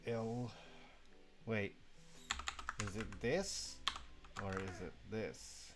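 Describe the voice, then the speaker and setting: A man's voice: fairly low pitch, drawn-out words, over loud music. A young man from Mexico chatting casually on a live stream, sleepy, rambling and self-deprecating, swearing now and then.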